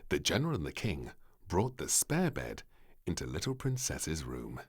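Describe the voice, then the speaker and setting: A man narrating a children's storybook in an expressive, theatrical and measured way. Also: extremely low pitch, breathy edge.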